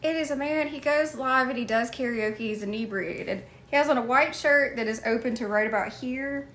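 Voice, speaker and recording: A woman's voice: on the high side, normal speed, a bit bright. A woman live streaming, chatting casually and thanking viewers by name as she goes.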